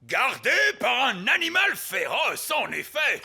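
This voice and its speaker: An actor voicing a blustering, theatrical pirate captain in a cartoon, with a booming, drawn-out delivery. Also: male voice, huge pitch swings, gravelly, background noise, full character voice. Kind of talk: French cartoon dub.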